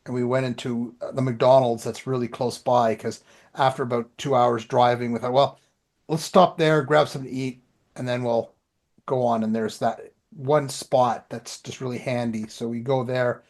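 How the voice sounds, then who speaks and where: A man's voice: mid-range voice, steady tone, moderate pace, a little mellow. A middle-aged man co-hosting a podcast, chatting casually about writing in a plain-spoken, anecdotal way. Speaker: podcast co-host and writer.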